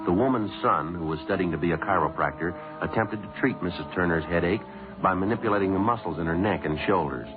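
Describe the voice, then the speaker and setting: Police sergeant narrator, played by a man: low American voice, dry and deadpan, music behind, vintage radio crime drama. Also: narrow, tinny audio, natural voice. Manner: deadpan, factual, clipped. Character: male police sergeant narrating his case, dry and stoic. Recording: old band-limited radio broadcast.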